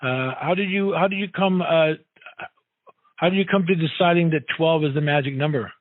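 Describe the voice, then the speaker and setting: A man hosting a casual radio interview, haltingly forming his questions and repeating words as he goes. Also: fairly high pitch, no echo. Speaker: radio show host, interviewer. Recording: narrow, dull phone-like sound.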